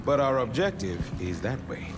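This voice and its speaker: An actor playing a gutsy, wisecracking veteran sergeant major in a video game, folksy and informal. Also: male voice, mid-range voice, very animated, normal speed, natural voice. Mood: wary, determined. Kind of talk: video game cutscene acting. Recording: loud music behind the voice.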